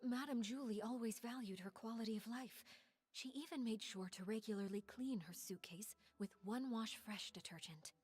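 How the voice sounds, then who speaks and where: An actress voicing a refined, elegant woman with a dramatic air and a breathy voice in a video game. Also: a little high, normal speed, a little mellow. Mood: pensive.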